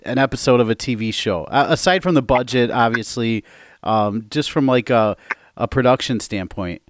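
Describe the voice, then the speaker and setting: Halting Midwestern interviewer. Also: male voice, medium pitch, dry recording, clean studio sound. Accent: Midwestern American. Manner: halting, many restarts and fillers. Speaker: interviewer host. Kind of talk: interview questions.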